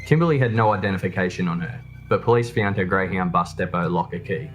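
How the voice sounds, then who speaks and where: A man narrates a true crime documentary from a script. His voice sits low in pitch. Soft music plays behind him. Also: fast.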